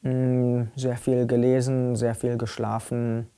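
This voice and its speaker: A man's voice: mid-range voice, completely flat delivery, takes it slowly, warm tone, clear, resonant tone. A young man of eighteen answering interview questions in a plain, matter-of-fact way. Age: young adult. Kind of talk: recorded interview. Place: dim indoor set.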